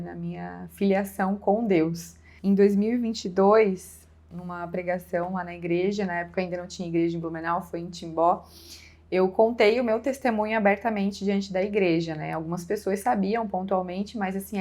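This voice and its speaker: A woman's voice: medium pitch, a little mellow. A woman pastor with a southern Brazilian accent, giving an informal personal testimony as a guest, with frequent conversational check-in tags.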